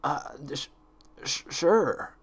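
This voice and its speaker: Man acting young male dragon, storybook sidekick, cheeky, casual, boyish, animated pitch. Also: very slow, slightly rough, exaggerated voice. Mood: nervous.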